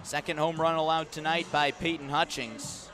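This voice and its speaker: A man's voice: on the high side, flat delivery, normal speed, a bit bright, soft background music. A man doing live radio play-by-play of a baseball game, moving between clipped calls and conversational asides. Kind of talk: live radio sports commentary. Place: outdoor ballpark broadcast.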